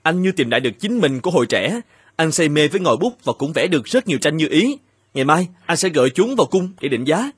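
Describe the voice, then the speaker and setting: Casting: evasive, courteous artist husband for animated folktale, fairly high male voice, hesitant delivery. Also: quick pace, slightly rough, no room sound, clean studio sound. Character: married painter, earnest, evasive adult Japanese man.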